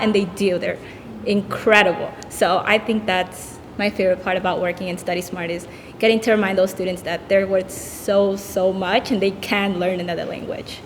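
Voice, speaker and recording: A woman's voice: mid-range voice, slight background noise. A woman whose native language is Spanish, speaking as a tutor on a conference panel in an earnest way with fillers. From El Salvador. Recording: reverberant hall.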